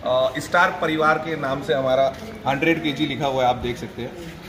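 A man's voice: mid-range voice, steady tone, normal speed. A man in his thirties who runs a goat farm, chatting casually for his vlog and coaxing others to join in, filmed in a covered shed at night. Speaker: goat farm owner and vlogger. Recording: echoey shed with loud background noise.

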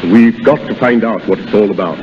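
A man playing a brisk, authoritative army colonel in a 1930s radio drama. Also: fairly low pitch, close to an everyday voice. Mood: brisk, commanding. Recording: muffled, noisy 1930s radio, long reverb.

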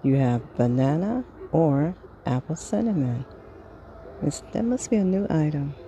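Slow, mellow-voiced woman with creaky tone. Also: low-pitched, expressive, muffled recording, a little hiss or hum. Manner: unhurried, item-by-item, pausing between phrases. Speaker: vlogger reading a grocery sales flyer. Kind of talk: casual product read-through.

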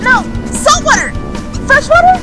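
A woman playing a sweet, excitable, easily flustered teenage girl in a radio drama. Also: very high voice, measured pace, music in the background, heavily acted. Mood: panicked. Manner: excitable, polite.

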